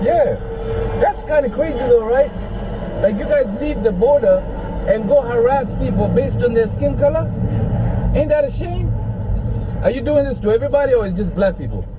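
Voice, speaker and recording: A man's voice: very high voice, animated, normal speed, highs cut off, very noisy. A man sitting in his parked car filming on his phone, arguing in an incredulous, confrontational way. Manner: argumentative, incredulous. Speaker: rideshare driver filming an encounter. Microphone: phone camera.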